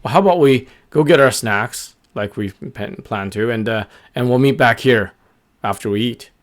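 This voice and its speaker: A man voicing a big, gentle, friendly male wolf in a children's story, amiable and thoughtful in his pauses. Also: mid-range voice, close to an everyday voice. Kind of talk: improvised children's story character voice.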